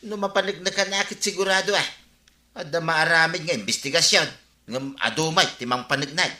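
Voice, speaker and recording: Echoey drama track, faint music and birds; stern village official, Ilocano accent, very sharp, reproachful. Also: male voice, on the high side, brisk. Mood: scheming. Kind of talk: Ilocano radio drama acting.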